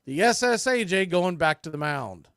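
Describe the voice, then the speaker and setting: Male play-by-play announcer, fan radio broadcast, higher-pitched, dry studio sound. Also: normal speed, dry recording. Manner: steady calls, rising excitement on big plays.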